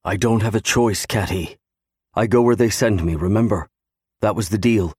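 A man's voice: on the low side, normal speed, a little mellow, breathy edge, dry recording, studio quality. A man voicing a steadfast young navy serviceman, earnestly reassuring his wife in an audiobook. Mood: tender resolve. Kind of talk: audiobook character acting.

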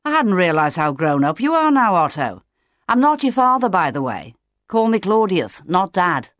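A woman voicing a big, patient, fatherly male emperor penguin in a storybook, brisk and matter-of-fact. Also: big pitch swings, no echo, studio quality. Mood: reassuring. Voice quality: deep.

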